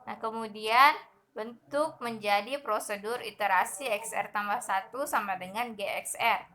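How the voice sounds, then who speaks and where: Young woman lecturer, bright clear tone, methodical slide walkthrough with fillers. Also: mid-range voice, measured pace. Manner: steady explaining with frequent "nah" and fillers. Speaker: math lecturer. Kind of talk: explaining slides in a recorded lesson.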